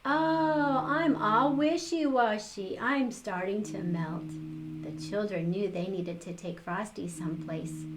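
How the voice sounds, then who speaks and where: A woman's voice: lowish voice, low-quality recording. An older woman reading a picture book aloud to children, expressively, with little exclaimed asides. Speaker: storytime reader for children.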